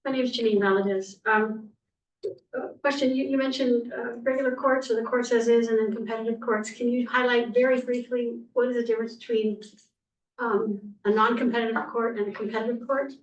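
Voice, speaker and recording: Higher-voiced woman commissioner asking questions. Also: fairly even intonation, a little fast, highs cut off. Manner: careful, clarifying questions. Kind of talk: questions at a public meeting. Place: council chamber. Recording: echoey chamber, mic at a distance.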